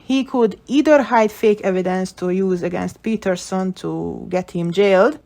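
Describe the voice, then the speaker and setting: A woman's voice: medium pitch, a little mellow. A woman video creator giving casual, opinionated commentary, thinking through her theories as she goes. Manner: speculative, opinionated. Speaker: video creator analysing game story.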